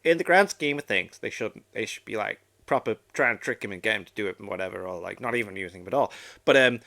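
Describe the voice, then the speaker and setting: A man's voice: lowish voice, animated, fast, creaky, bright, forceful tone. A man hosting a reaction video with casual, informal commentary, swearing now and then and acting out imagined dialogue.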